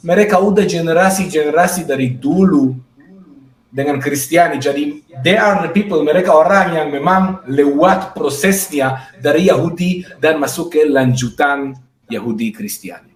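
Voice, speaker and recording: A man's voice: a little high, normal speed. A man adding to a religious discussion, agreeable and hesitant, with many filler sounds and a few English words. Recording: echoey room.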